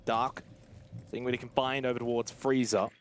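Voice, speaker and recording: A man's voice: medium pitch, flat delivery, normal speed, background noise. A man giving live play-by-play commentary as an esports caster.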